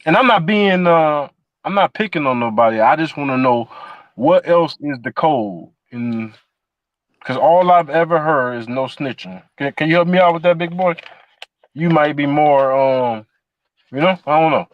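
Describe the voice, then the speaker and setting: Male podcast host, 40s, rambling and restarting, higher pitch, dry slightly muffled recording. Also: normal speed, no echo. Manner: rambling questions with many fillers and restarts. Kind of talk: casual chat.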